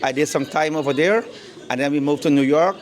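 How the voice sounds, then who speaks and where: A man's voice: on the high side, brisk. A middle-aged man from Guyana with a Guyanese accent, chatting easily about his barbershop in an interview and leaning on "you know". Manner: easygoing, repeats words, fillers like "you know". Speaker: barbershop owner. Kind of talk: casual interview. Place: indoor barbershop.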